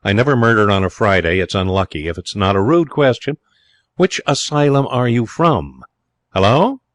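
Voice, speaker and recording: A man's voice: fairly low pitch, moderate pace, a bit bright, no room sound, close to an everyday voice. A male narrator plays a wealthy young American man from a storybook, cool and unflappable. His American accent comes with breezy slang and a kindly temper, in a dry, close sound. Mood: cool, wry. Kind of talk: storybook character voice in audiobook narration.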